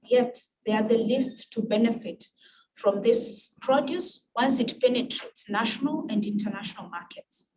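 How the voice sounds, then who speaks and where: A woman with a Kenyan accent and Luo as her first language gives a formal, measured prepared speech with emphatic phrasing. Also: fairly high pitch, very animated. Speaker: guest speaker at an international women's event. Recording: distant mic with clear background noise.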